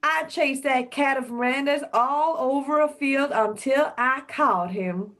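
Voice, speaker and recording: A woman's voice: high-pitched, a little slow, fairly bright tone. A woman in an amateur radio drama playing a weary, exasperated wife and mother. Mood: exhausted, exasperated.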